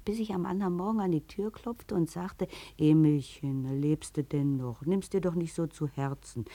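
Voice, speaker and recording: An actress playing a lively, flirtatious middle-aged hairdresser widow with a Berlin accent in a 1950s German radio play. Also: female voice, lowish voice.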